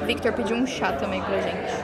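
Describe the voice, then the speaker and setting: A woman's voice: mid-range voice, fairly even intonation, a little fast, over a noisy crowd. A young woman with a Brazilian Portuguese accent, chatting casually to camera as a vlogger. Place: outdoors on city streets and in cafés. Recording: street noise behind the voice.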